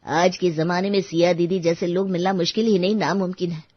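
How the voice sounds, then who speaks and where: An actor voicing a grateful, protective husband and father, earnest and emphatic, in an animated moral story. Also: high voice, a little fast, no room sound, muffled recording. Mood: earnest gratitude.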